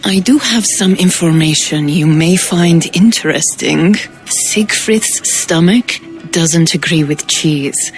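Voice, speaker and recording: Sly, dry informant over music. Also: female voice, fairly low pitch, slow. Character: discreet, gossipy informant with a sly wit. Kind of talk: dramatic video game cutscene acting.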